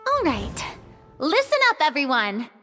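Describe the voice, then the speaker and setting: A woman's voice: high-pitched, huge pitch swings, unhurried, pushed and bright, in a large hall, faint music behind, full character voice. A woman playing a theatrical, self-assured young female film director in a video game. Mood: commanding. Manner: theatrical, commanding.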